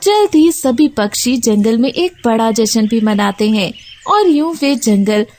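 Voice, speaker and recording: Female narrator, scripted children's tale: high pitch, lively, expressive intonation. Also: a little slow.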